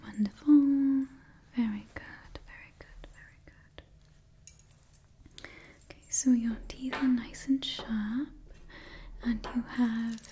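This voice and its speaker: A woman in her twenties whispering an ASMR roleplay close to the microphone, breathy and repeating her phrases. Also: on the high side, mellow. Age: 20s. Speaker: ASMR performer playing a monster party door checker. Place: small indoor setup before a brick backdrop. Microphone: close microphone, face near camera.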